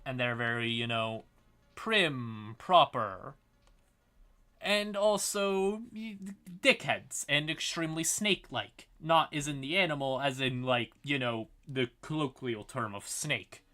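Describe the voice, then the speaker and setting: A man giving an informal livestream explainer on fantasy lore, halting and wry, with casual asides to his chat. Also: medium pitch, animated, sharp tone, soft background music.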